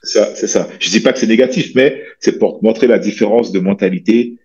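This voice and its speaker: A man in his thirties from French Guiana talks through a headset on a video call, his voice low and slightly husky. He rambles in a relaxed way, with many fillers and restarts. The call audio sounds a little dull. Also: brisk. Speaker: guest, bodybuilder and coach. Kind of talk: casual interview chat. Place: small room at home, indoors.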